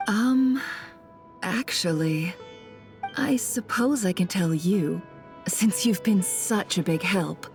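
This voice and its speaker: A woman's voice: medium pitch, takes it slowly, a little mellow. A woman playing a shrewd, composed traveler in a video game, speaking politely and with calculation. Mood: confiding. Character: shrewd woman traveler posing as antiques smuggler. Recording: music under the voice.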